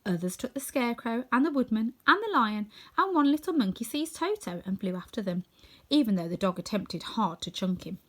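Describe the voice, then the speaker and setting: A woman narrating a children's storybook in an expressive, scripted reading. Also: a little high, brisk. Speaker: storybook reader.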